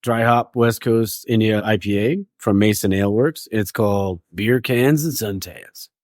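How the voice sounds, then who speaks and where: A man's voice: lowish voice, normal speed, a little mellow, no room sound, clean studio sound. A man hosting a podcast, chatting casually and joking through a beer tasting, swearing now and then. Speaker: podcast host.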